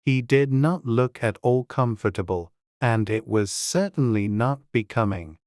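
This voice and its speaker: A man's voice: mid-range voice, unhurried, darkish tone, no echo, clean studio sound. A man reading scripted storybook narration as an audiobook narrator.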